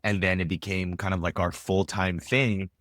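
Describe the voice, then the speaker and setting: A deep-voiced man talks through his story in loose, rambling sentences full of "um" and "you know." The sound is close and dry, with no room around it. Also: little pitch movement, normal speed, a bit bright, no echo. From Israel. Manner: rambling, many fillers and restarts. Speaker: podcast guest, filmmaker. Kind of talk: casual interview chat.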